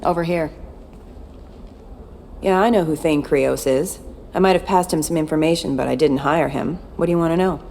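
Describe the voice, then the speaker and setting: A woman's voice: low-pitched, normal speed, long pauses, darkish tone, a little hiss or hum. A woman playing a tough, cynical former security chief in video game dialogue, blunt and guarded. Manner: blunt, matter-of-fact.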